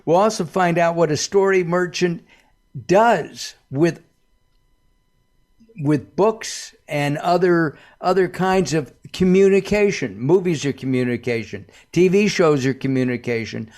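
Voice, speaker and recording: A man's voice: a little high, measured pace, a little mellow. A man hosting a talk radio show, chatty and upbeat, often rambling.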